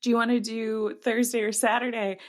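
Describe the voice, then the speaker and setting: High-voiced woman chatting casually. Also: flat delivery, fairly quick. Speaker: podcast co-host. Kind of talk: casual chat.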